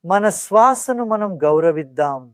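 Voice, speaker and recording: A meditation guide speaks calmly and soothingly at a mid-range pitch. The instructions come in measured phrases with pauses between them. The sound is close and dry, with very little room echo.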